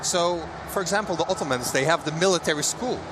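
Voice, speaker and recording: A man's voice: a little high, fast. Hesitant man talking outdoors at an event. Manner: hesitant, many fillers and restarts. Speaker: game developer guest. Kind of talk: casual interview. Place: outdoors at a busy trade show. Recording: noisy outdoor event recording.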